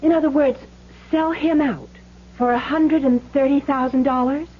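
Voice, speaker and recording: An actress playing a loyal, plucky young wife in a 1970s radio drama, speaking earnestly and with emphasis. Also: female voice, sits high, fairly even intonation, normal speed, phone-quality sound, natural voice. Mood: firm, indignant. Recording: thin, band-limited old radio recording.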